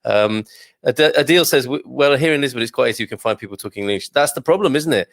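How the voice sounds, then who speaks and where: Gravelly, upbeat male host. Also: mid-range voice, a bit bright, no room sound, studio quality. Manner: upbeat, quick praising interjections. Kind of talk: interview hosting.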